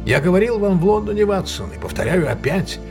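A man's voice: high voice, lively intonation, a little fast. An actor playing a shrewd male detective who lays out his deductions emphatically and theatrically in an audio drama. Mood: grave. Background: prominent music.

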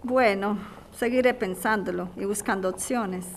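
An actress as a worried working mother, mid-pitched. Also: a little slow, slight background noise, close to an everyday voice. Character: worried migrant working mother, torn and polite. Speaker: actor in an audio drama. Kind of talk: podcast radio drama acting, conversational.

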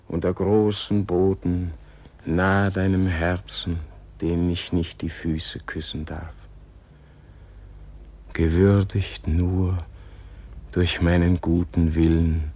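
Tiefe, demütige Männerstimme, getragen langsam. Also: male voice, deep voice, a little mellow. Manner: reverent, halting, prayerful. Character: humble, self-doubting soul of a martyred Indian leader. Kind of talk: solemn classic German radio play acting.

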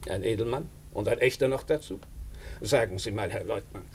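An actor playing a blunt, shrewd doctor with a deliberate, sardonic, probing manner in a German radio drama. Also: male voice, on the low side, vocal fry, husky. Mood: ironic, probing. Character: blunt, shrewd, bespectacled male country doctor.

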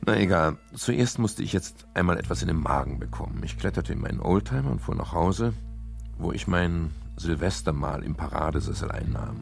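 An actor playing a world-weary private detective in a German crime radio play, wry and measured, with a gravelly, husky voice. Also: male voice, deep voice, heavily acted. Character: world-weary male private detective narrating his case. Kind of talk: German crime radio play, first-person narration and dialogue.

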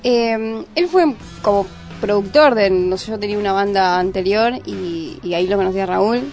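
An Argentine woman guitarist answers interview questions in an easygoing, chatty way, full of casual fillers. Her voice is mid-pitched. A little music sits in the background of the studio. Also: moderate pace, a little mellow. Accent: Argentine Spanish. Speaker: guitarist in a band. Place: radio studio.

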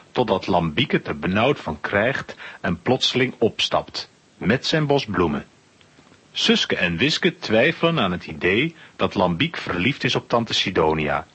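A man's voice: fairly low pitch, a bit bright, husky. A man narrating a children's audio drama in a scripted storytelling style.

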